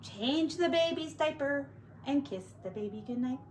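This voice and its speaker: A woman teacher doing an expressive read-aloud and sing-song play for young children, drawing out her words. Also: high-pitched, slight background noise. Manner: expressive, drawn-out words, hushed asides.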